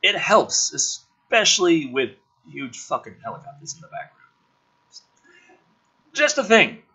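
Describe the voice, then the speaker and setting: A man with a forceful, husky voice does dramatic script reading and casual commentary. His pitch swings widely as he gets exasperated. He is sarcastic and swears freely, with groaning asides. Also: wildly swooping pitch, measured pace, rough recording. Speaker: host of a gaming and film commentary channel.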